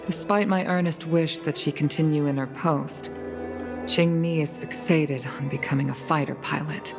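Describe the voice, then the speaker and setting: Weary veteran pilot mother, low gravelly voice, formal, gracious, protective, video game dialogue over music. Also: steady tone, moderate pace. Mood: weary, reflective. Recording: background music under the voice.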